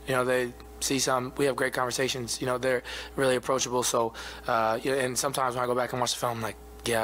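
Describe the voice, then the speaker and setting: Athlete in his twenties, steady low-key answers at a postgame presser, table mic, light hum. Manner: soft-spoken, even, many fillers. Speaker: basketball player. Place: arena press room. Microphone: table mic in front of him.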